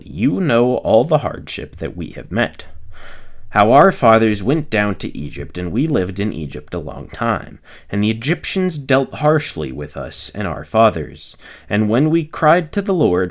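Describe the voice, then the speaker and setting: A man reading scripture aloud phrase by phrase, in a measured narrating manner with the occasional stumble. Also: mid-range voice. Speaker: reader, narrator.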